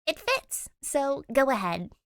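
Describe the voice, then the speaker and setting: A woman's voice: high voice, huge pitch swings, slow, a bit bright, dry recording, studio quality, voice pushed up, heavily acted. A woman voicing a stubborn, snappy teenage girl in an audio story reading. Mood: pushy, insistent. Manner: defiant, snappy, emphatic. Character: feisty, stubborn teenage ghost-clone girl.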